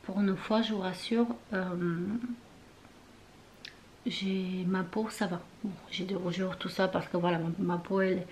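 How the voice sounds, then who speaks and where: A young woman talks casually in her bedroom, rambling through loose, trailing sentences full of fillers. She often drifts into a soft near-whisper. Faint room noise sits underneath. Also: fairly low pitch, little pitch movement, unhurried. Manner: chatty and rambling, many fillers and trailing phrases. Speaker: beauty vlogger. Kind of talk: casual chat to camera.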